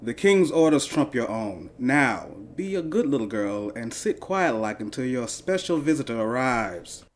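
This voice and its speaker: A man voicing a spindly, condescending castle guard in a dark fairy tale, mock-polite and sneering. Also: mid-range voice, very animated, measured pace, hardly any pauses, voice pushed up. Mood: sneering, indignant.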